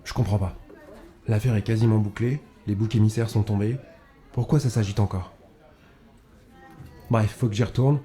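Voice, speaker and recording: A man playing a gruff, irritated police detective in an audio drama, curt and demanding, swearing as he gives orders. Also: lowish voice, fairly even intonation, normal speed, long pauses, dark tone, faint music behind, close to an everyday voice. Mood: irritated, hurried. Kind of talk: French audio fiction acting.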